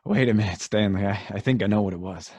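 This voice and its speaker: An actor playing a wary, jittery crook's accomplice with an American accent, urgent and terse, in old-time radio horror style. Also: male voice, fairly low pitch, fast talker, muffled recording, background noise, lightly acted. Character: Pete, wary, jittery male bank-robber accomplice.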